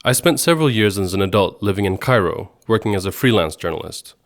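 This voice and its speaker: Low-voiced man narrating a podcast. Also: expressive, fast talker. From Sweden, grew up in Egypt and Jordan. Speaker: podcast host, radio journalist.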